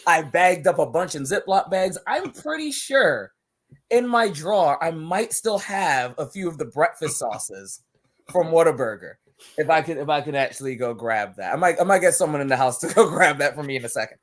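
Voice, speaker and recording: A man's voice: a little high, normal speed. A man from Barbados, a wrestler guest on a podcast, talking casually and telling stories with lots of laughter and repeated words. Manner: animated storytelling, laughing often, repeats words.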